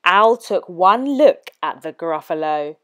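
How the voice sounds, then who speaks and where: A woman reading a children's story aloud in an expressive, theatrical, deliberate manner. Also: on the low side.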